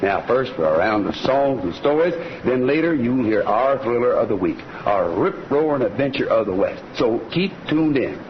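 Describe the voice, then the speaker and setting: An American man playing a friendly, folksy cowboy ranch host who pitches to listeners in the style of a 1940s radio western. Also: animated, normal speed, hardly any pauses, a little hiss or hum, lightly acted. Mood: hearty, folksy. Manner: folksy, hearty. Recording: dull lo-fi old radio recording. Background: music.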